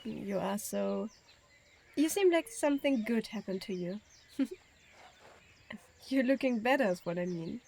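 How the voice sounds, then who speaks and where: A woman's voice: mid-range voice, lively intonation, unhurried, gravelly, long pauses, a little mellow. A woman playing a teasing young woman.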